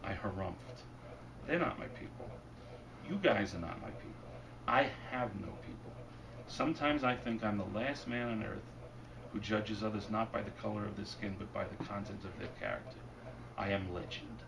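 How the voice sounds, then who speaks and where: A man with a low, gravelly voice reads his own fiction in a wry, deadpan way, heard from some way off. His voice is wearing thin, and the bookstore room behind him is noisy. His delivery stays dry as the hard-boiled lines land. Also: normal speed. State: losing his voice. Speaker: author reading his fiction. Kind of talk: bookstore reading, then Q&A. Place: bookstore event. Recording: distant mic, noisy room. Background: audience laughter.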